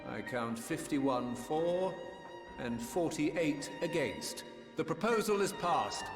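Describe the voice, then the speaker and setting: An actor playing a stern presiding council official in a video game, speaking in a formal, measured, authoritative way. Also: male voice, on the high side. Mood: solemn. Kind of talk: scripted video game acting. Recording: echoey hall, orchestral strings behind.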